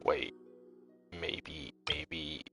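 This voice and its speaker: A man giving casual, excitable livestream commentary on a game, swearing and talking to himself. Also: extremely low pitch, drawn-out words. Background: game music.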